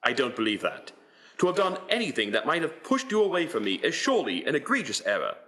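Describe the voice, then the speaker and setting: A man's voice: medium pitch, huge pitch swings, fast talker, pushed and bright. A man playing a young, pleading, defensive suitor in a radio play, with formal period phrasing. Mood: hurt, earnest.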